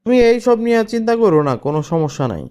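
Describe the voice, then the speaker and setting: A man's voice: high voice, lively intonation, very fast, a little mellow, smooth. A man voicing a sentimental elder brother in a cartoon family drama, earnest and consoling, with a Bangladeshi Bengali accent. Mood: reassuring.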